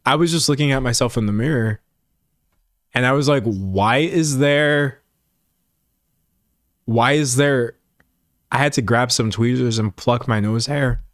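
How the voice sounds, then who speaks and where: A man in his late twenties chatting casually to viewers as a livestream host, rambling in an animated, self-mocking way and acting out imagined remarks. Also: mid-range voice, unhurried, long pauses, dry recording, clean studio sound.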